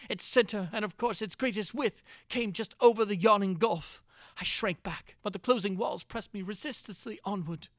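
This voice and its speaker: A man's voice: high voice, moderate pace, dry recording, clean studio sound. A man narrating gothic horror as a dramatic reading, with an intense theatrical delivery. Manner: theatrical, intense delivery with big swings from hushed to crying out.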